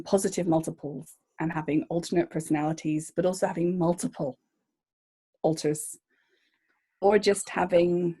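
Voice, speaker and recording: Female journalist guest, husky, thoughtful and hesitant. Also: on the low side, darkish tone. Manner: thoughtful, with frequent "um"s and restarts. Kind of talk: interview answers.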